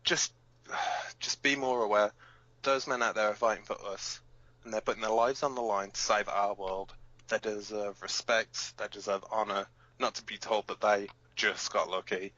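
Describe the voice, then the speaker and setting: Man playing a forceful, blunt resistance radio fighter. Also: on the low side, steady tone, normal speed, dry recording, muffled recording. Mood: stern, earnest. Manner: blunt, sweary, emphatic. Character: hot-headed, protective male alien-war resistance fighter hosting rebel radio. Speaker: radio broadcast host. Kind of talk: amateur audio drama as underground radio broadcast.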